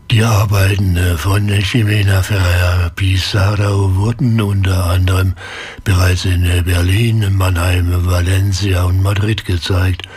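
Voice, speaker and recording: Very deep, husky male radio presenter. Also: a little mellow. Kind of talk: radio moderation, introducing music and reports.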